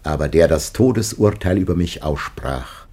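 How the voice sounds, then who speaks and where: A man acting an ancient, dying patriarch in a solemn radio drama, grave, anguished and loving, with declamatory, drawn-out phrasing. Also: sits low, expressive, warm tone. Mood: anguished, tender.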